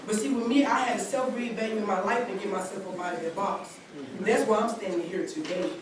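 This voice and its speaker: A woman's voice: mid-range voice, fairly quick, crowd noise. A woman in her twenties, a college student, giving an earnest personal testimony in long run-on sentences at a community seminar. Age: 20s. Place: large church hall. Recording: very echoey hall recorded from a distance with background noise.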